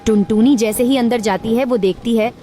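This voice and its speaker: A woman tells a children's cartoon story in a steady, even voice that sits a little high. Background music plays clearly under her narration. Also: moderate pace.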